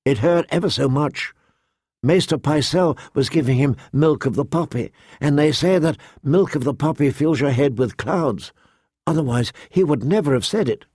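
A man's voice: fairly high pitch, normal speed, darkish tone, slightly rough, no echo, clean studio sound. A man voicing an earnest young noble girl in an audiobook, pleading eagerly and deferentially.

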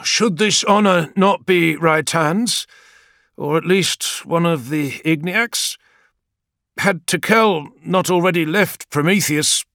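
A man's voice: a little high, slow, a bit bright, no echo, studio quality, pitched above the performer's own voice. A man voicing a loyal male veteran warrior who speaks bluntly and earnestly. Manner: earnest, questioning.

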